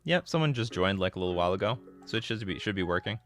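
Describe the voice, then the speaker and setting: A man in his thirties chats on his livestream, jumping between thoughts and restarting sentences as he answers viewers. His voice is bright and sharp, with soft music playing underneath. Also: sits low, fast. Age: 30s. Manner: rambling, excitable, restarts sentences. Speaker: livestream host. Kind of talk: casual live-stream chat answering viewer questions.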